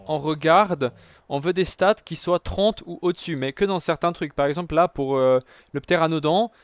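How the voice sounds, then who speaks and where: A man's voice: on the high side, normal speed, smooth, dry recording, clean studio sound. Chatty young man narrating his gameplay. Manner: chatty, talks to viewers as "les gars", many fillers. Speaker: gaming youtuber. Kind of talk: casual let's-play commentary.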